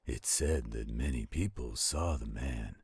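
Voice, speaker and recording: A man playing a gentle, protective werewolf in a roleplay audio, telling bedtime stories in a slow, intimate, caring way. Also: slight background noise. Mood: soothing, storytelling. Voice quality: very deep, husky, gravelly growl. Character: gentle protective male werewolf, soothing storyteller to his partner.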